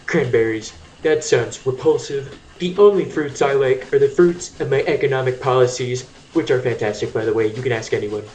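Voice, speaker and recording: A man's voice: mid-range voice, normal speed, few pauses, a little mellow, close to an everyday voice, poor audio. A man doing a blustering boastful president. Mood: indignant. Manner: bragging, interrupting, self-congratulating. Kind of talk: comedic amateur radio play.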